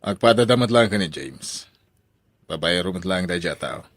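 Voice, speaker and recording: Skeptical Ilocano son, dry sound. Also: male voice, low-pitched, expressive, normal speed, fairly bright tone, no room sound. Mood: suspicious. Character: skeptical adult son, protective of his sister. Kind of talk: Filipino radio drama acting.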